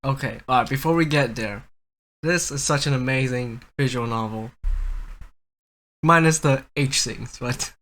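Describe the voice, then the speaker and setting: A young man hosting a casual podcast, telling stories in a rambling, halting way. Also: mid-range voice, a bit bright. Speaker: podcast host.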